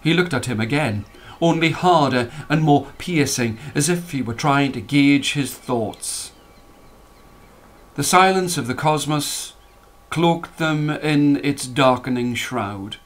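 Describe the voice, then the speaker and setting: A man's voice: a little high, slow. A man narrating a scripted story as a dramatic storyteller. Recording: music playing under the voice.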